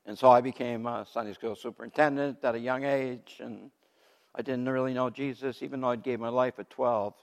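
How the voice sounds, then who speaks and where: Loud, animated older male preacher. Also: mid-range voice, fairly quick, gravelly. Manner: loud, animated storytelling with laughs. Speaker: guest speaker giving testimony. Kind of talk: testimony sermon. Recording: long hall reverb.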